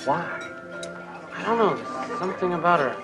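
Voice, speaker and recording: A man's voice: sits high, big pitch swings, normal speed, over loud music. An actor playing an earnest, hesitant man drawn to a waitress, in naturalistic television drama. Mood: wistful.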